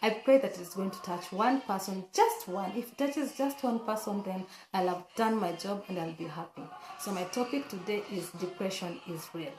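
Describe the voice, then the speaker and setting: A woman with a hoarse voice, talking casually and earnestly to camera as a vlogger, with frequent fillers and restarts. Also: mid-range voice, normal speed, hardly any pauses, a little mellow, echoey room, a little hiss or hum, poor audio.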